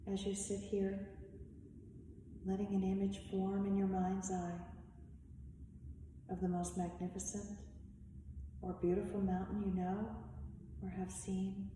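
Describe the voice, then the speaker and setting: A woman leading a guided meditation as a teacher, speaking in measured, instructive short phrases with long pauses. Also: medium pitch, completely flat delivery, warm tone. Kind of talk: slow guided meditation narration. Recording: echoey reverberant room, distant mic, faint noise.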